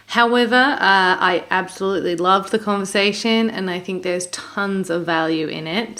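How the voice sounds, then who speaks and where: A woman's voice: medium pitch, a bit bright. A woman hosting a podcast, introducing the show and chatting with a guest in an interview. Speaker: podcast host.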